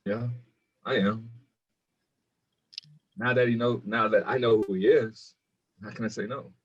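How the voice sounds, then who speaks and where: A man's voice: lowish voice, steady tone, a little slow, slightly rough. A man acting an easygoing, good-hearted young hospital volunteer with casual banter in a screenplay table read, on dull audio. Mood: resolved, wry.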